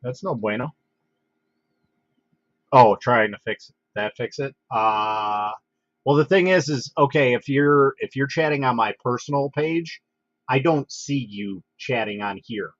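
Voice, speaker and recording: A man's voice: medium pitch, dry recording. Congested man chatting on a lo-fi stream. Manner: relaxed, halting, many fillers and restarts. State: congested with a head cold. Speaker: livestream host. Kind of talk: casual livestream chat. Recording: dull, dry lo-fi home stream audio.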